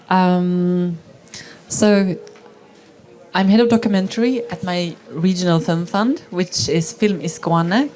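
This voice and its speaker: A woman's voice: fairly low pitch, a little mellow. A woman heading documentary at a film fund, speaking steadily and informatively on a panel. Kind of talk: panel talk.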